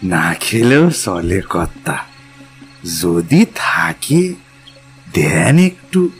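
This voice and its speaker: Slow, swooping, humble rustic porter. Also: male voice, on the low side, very animated, gravelly, soft background music, heavily acted. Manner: slow, drawn-out, deferential speech. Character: humble, rustic male porter, deferential and awed. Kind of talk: audio story character acting.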